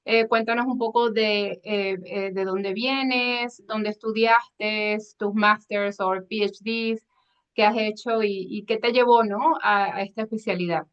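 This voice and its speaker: A woman's voice: medium pitch, fairly even intonation, unhurried, smooth. A woman hosting a medical podcast interview, asking friendly, hesitant questions with many "eh" fillers. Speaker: podcast host. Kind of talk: hosted interview. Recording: dull lo-fi sound.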